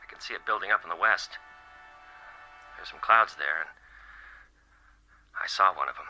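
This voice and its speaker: A man's voice: deep voice, moderate pace, sharp tone, soft background music, low-quality recording. An actor playing an anxious, haunted male travel writer, hesitant and uneasy, in a naturalistic radio drama. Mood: uneasy, brooding.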